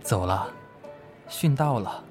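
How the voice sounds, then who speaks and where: A man playing a gentle, grieving swordsman master in an amateur radio drama, speaking in a kindly, measured, coaxing way. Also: medium pitch, expressive, music in the background. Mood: solemn.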